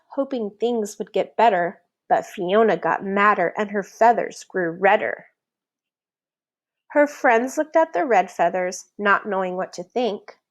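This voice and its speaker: A woman reading a children's picture book aloud as an expressive, deliberate storyteller who leans on the rhymes. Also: fairly high pitch. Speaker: storybook reader.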